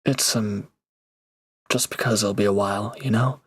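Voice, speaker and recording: A man playing a former villain turned hesitant, conflicted lover, speaking earnestly and haltingly in an intimate audio roleplay. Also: fairly low pitch, fairly even intonation, fairly bright tone, husky. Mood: reluctant farewell.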